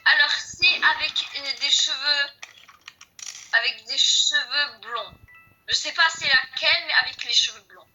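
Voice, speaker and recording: Woman, slight Portuguese accent, casual gaming chat, high voice, very bright tone, repetitive, talks to viewers. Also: a little slow, muffled recording, faint music behind. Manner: chatty, repeats herself, addresses viewers. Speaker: gaming video host. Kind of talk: casual chat while playing a game.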